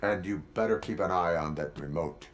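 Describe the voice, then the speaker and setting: Casting: male actor for stoic robotic cop in a game, low-pitched, clipped and deadpan, noisy track. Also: normal speed, natural voice. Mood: stern, impassive. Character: stoic cyborg policeman, terse and unyielding.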